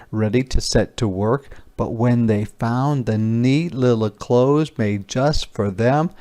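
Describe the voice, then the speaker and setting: A man reading a picture book aloud as a teacher would to young children, expressive, explaining and questioning. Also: mid-range voice.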